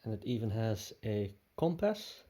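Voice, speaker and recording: A man gives a casual hands-on product commentary in a soft-edged, mellow voice with lively swings in pitch. He thinks aloud in short, tentative phrases and takes his time between them. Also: measured pace, husky. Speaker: product reviewer. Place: indoor room with tiled floor.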